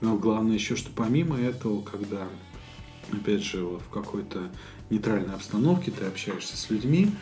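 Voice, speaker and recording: A man from Russia co-hosting a podcast, talking in a thoughtful, halting way with many fillers. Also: on the low side, lively intonation, warm tone, faint music behind. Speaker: podcast co-host. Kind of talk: casual conversation.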